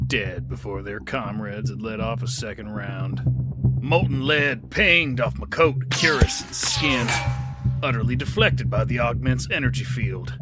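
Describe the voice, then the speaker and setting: A man narrating a first-person audio drama, intense and dramatic, rising loud in the action and sinking to a hushed tone in the quiet passages. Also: mid-range voice. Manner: intense, swelling loud on action then dropping to a hush. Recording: narration over loud score. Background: loud music score.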